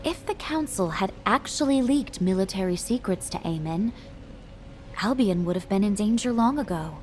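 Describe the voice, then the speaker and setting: A woman's voice: medium pitch, expressive, normal speed, a little mellow, slight background noise, natural voice. An actress playing a principled female military officer in a video game, formal, firm and earnest. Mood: stern, troubled. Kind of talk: video game cutscene acting.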